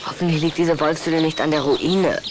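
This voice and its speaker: A man's voice: fairly high pitch, steady tone, a little fast, lightly acted. A man playing a wary, cheeky schoolboy in a German audio drama, questioning back sceptically. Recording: echoey, distant, faint noise.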